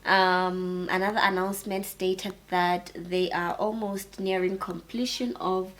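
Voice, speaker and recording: A woman co-hosting a casual chat, speaking earnestly and agreeably, quick to laugh. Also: fairly low pitch, fairly even intonation, a bit bright. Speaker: co-host.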